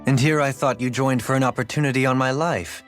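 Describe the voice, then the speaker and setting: An actor playing a composed, courtly and dryly humble former lord in a video game cutscene. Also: male voice, medium pitch, fairly even intonation, fast talker, a bit bright, faint music behind, natural voice. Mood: dry, wry.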